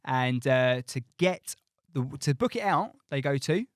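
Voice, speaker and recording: Male co-host, medium pitch, casual and playfully pedantic, recorded close and dry. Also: lively intonation, measured pace, no room sound. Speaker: podcast co-host. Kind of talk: casual chat. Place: podcast studio.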